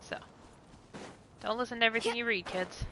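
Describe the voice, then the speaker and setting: A woman's voice: on the high side, fairly even intonation, brisk, bright, forceful tone, slight background noise. A woman streaming a game, making blunt, offhand remarks in a casual way. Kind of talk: casual gameplay commentary. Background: game music.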